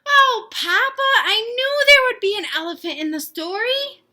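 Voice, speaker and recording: A woman voicing an excitable, mischievous little girl chicken in a children's picture book read-aloud, eager and full of exclamation. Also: extremely high pitch, normal speed, voice pushed up, exaggerated voice. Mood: delighted.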